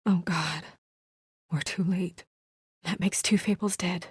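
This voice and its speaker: A woman's voice: lowish voice, steady tone, takes it slowly, slightly rough, no echo, exaggerated voice. A woman acting as a composed, earnest young investigator in a noir fantasy video game, serious and restrained with a dry edge. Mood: hushed, shaken. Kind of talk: video game voice acting, naturalistic dramatic dialogue.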